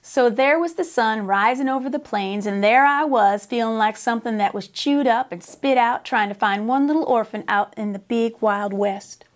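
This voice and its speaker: A middle-aged American woman narrates a children's storybook like a teacher at story time. Her voice is on the high side, and she leans into a drawling, folksy Western dialect with plenty of expression. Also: moderate pace, few pauses. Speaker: teacher reading a storybook aloud. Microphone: lapel.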